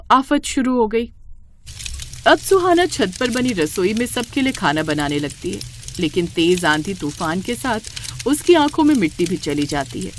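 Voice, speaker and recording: Casting: female narrator for moral tales, mid-pitched and very expressive, scripted storybook delivery. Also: noisy background.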